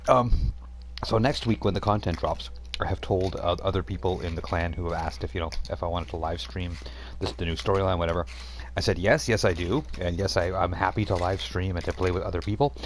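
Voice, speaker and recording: A deep-voiced man hosts a live tabletop game night, chatting in a laid-back way. He laughs easily and sounds relaxed. A faint hiss sits under his voice. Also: brisk. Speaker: game master and stream host. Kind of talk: casual chat on a live stream.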